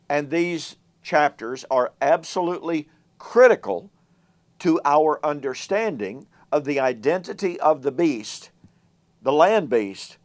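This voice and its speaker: Measured, emphatic male Bible teacher. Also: a little high. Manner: measured delivery, slowing and rising in volume to stress key words. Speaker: Bible teacher and show host. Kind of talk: solo Bible teaching talk to camera.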